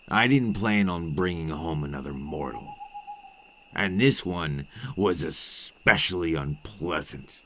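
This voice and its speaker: A man playing a menacing, centuries-old male vampire in an ASMR roleplay drama, speaking in a theatrical, condescending, deliberate way with old-fashioned phrasing. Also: low voice, fairly bright tone, slight background noise, full character voice. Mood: menacing calm.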